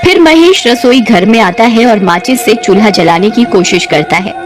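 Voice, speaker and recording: A woman's voice: a little high, expressive, normal speed, noisy background. A woman reading scripted narration for a cartoon ghost story.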